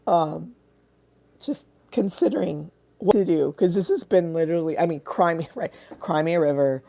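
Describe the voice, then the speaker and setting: A woman's voice: lowish voice, moderate pace, creaky, warm tone. A woman who is an artist hosting a casual livestream, rambling candidly and swearing freely.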